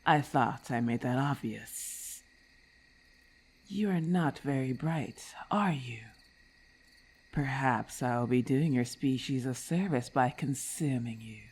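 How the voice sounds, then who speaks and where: Woman acting as a predatory snake-woman, very deep female voice, husky and breathy, haughty, condescending, ASMR roleplay. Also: a little mellow, heavily acted. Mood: scornful, predatory. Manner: slow, formal, condescending diction. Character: menacing, haughty female lamia hunting human prey.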